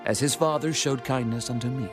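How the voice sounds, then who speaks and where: A man's voice: medium pitch, brisk, natural voice. A man playing a gracious, commanding king in a dramatized scripture reading, solemn and declarative. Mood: regal, benevolent. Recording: music bed under the voice.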